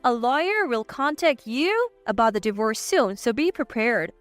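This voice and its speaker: Narrator as unyielding wife, scornful and firm, high animated female voice. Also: moderate pace, no echo, faint music behind. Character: cool, resolute wife divorcing her husband.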